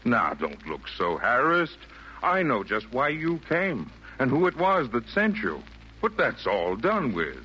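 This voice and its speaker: A man's voice: mid-range voice, wildly swooping pitch, a little slow, sharp tone, slightly rough, full character voice. An actor in a 1940s radio play voicing a kindly, jolly old man with genial, lilting, theatrical delivery of rhymed verse. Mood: kindly, reassuring. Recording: noisy vintage 1940s radio broadcast.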